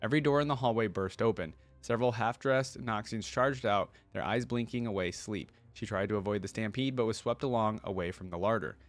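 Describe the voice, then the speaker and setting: A man hosting a reaction video, reading a story aloud with animated delivery and breaking off for casual comments. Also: on the low side, fairly quick, few pauses, soft background music.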